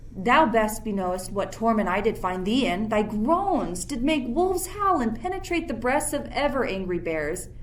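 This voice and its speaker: An imperious sorcerer, acted by a young woman, speaks theatrical old verse with stern command. The pitch is medium, and faint noise and ambience sit behind this radio-play performance. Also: natural voice, low-quality recording. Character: Prospero, imperious, stern sorcerer ruling an island.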